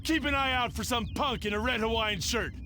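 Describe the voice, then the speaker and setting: A man's voice: very high-pitched, brisk, noisy background. A man voicing a bullying, domineering mercenary boss in a video game, barking contemptuously. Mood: threatening. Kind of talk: video game cutscene voice acting.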